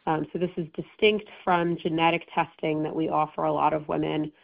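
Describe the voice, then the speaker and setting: A hoarse woman doctor explains treatment options in an informative way, with frequent ums and false starts. Her voice is low and gravelly. The sound is thin and phone-like. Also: little pitch movement, brisk. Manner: informative, many ums and restarts. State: hoarse, recovering. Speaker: breast oncologist presenting. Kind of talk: medical presentation to patients. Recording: tinny phone-line audio.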